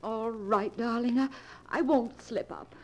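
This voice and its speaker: A frightened, reluctant woman accomplice, speaks in a very husky voice. She falters and pleads anxiously, in the melodramatic style of a 1940s radio thriller. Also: a little high, unhurried, a little mellow. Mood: nervous. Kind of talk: 1940s radio drama acting.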